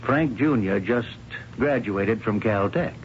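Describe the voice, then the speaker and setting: A man's voice: fairly low pitch, takes it slowly. An actor playing a genial, proud, middle-aged businessman in a radio drama, affable and assured. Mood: proud, reassuring. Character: genial, proud businessman boss, father of a graduate. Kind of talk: 1970s radio drama acting. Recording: muffled, slightly noisy 1970s radio broadcast.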